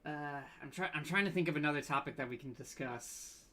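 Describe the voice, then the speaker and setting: A man co-hosting a casual livestream chat, speaking in a hesitant, halting way with stammers. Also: a little high, pushed and bright. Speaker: co-host of a panel discussion.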